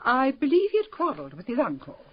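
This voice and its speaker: An actress playing a prim, self-assured secretary in a radio drama, speaking in a clipped, firm, formal way. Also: female voice, sits high, wildly swooping pitch, quick pace, breathy edge, exaggerated voice. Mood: prim, guarded. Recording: dull lo-fi radio drama audio.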